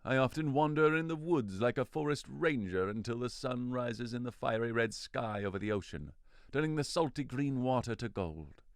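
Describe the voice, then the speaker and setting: A man's voice: mid-range voice, moderate pace, clear, resonant tone, dry recording, clean studio sound, lightly acted. A man playing a commanding fairy king in an audio drama, speaking with theatrical authority and deliberation. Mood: proud, brisk. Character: commanding fairy king, a lordly forest spirit. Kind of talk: audio drama acting of modernized Shakespeare.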